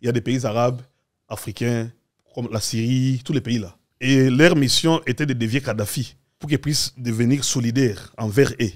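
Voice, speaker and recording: A man's voice: mid-range voice, fairly even intonation, normal speed. A man speaking as a guest in an opinionated political discussion, assertively explaining with examples.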